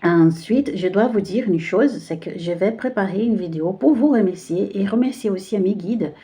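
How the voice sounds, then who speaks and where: A woman with a Brazilian Portuguese accent hosts a casual card-reading video in French, which is not her first language. Her voice sits in a middle pitch with a warm, mellow tone. She often pauses and searches for words as she talks. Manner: hesitant, searching for words with many fillers. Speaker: tarot card reader.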